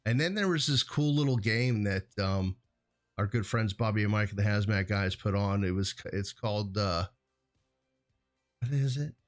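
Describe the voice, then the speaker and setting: A man's voice: on the low side, normal speed, faint music behind. A man co-hosting a show in a casual, rambling chat, often correcting himself as he goes. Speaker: co-host of a hazardous materials show.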